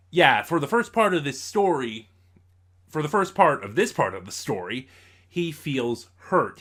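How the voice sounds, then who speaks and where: A man presents a casual review in a medium-pitched voice. He talks as a show host addressing his viewers, in a halting way, often doubling back to reword himself. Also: fairly bright tone. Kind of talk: informal audio drama review to camera.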